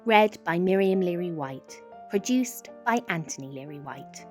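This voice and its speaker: A woman storyteller reading a children's picture book expressively, with deliberate phrasing, drawn-out emphasis and dramatic pauses. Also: on the low side, animated, a little mellow, faint music behind. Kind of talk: expressive bedtime story narration.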